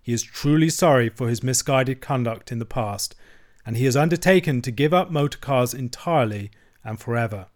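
A man's voice: medium pitch, a little fast, darkish tone, lightly acted. A man voicing a stern male badger from a storybook, solemn and commanding, given to speechifying. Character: stern, oratorical male badger, gang leader. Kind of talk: storybook character voice.

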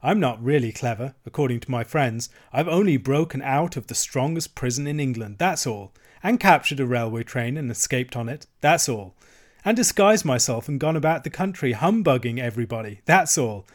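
A man voicing a vain, excitable storybook toad with a boastful, melodramatic way of talking. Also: mid-range voice, normal speed, natural voice. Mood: smugly boastful. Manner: self-congratulating, melodramatic.

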